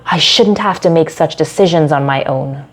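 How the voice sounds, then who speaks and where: A woman's voice: low-pitched, normal speed, breathy edge. A woman reading the part of a troubled, principled middle-aged male sports club manager in a story, in a grave, dramatic manner. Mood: anxious, stern.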